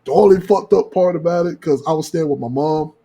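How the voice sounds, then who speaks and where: A man with a husky voice telling a casual podcast story in slangy, profane talk, acting out the dialogue. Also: a little high, a little fast, dark tone. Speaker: podcast co-host.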